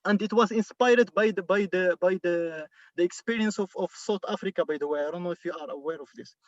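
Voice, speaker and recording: Moroccan-born male doctoral student, high-pitched, halting and repetitive, calling in from a car on a dull, dry line. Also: no echo. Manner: halting, repeats words many times. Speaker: doctoral student asking a question. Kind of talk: online Q&A question. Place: inside a car.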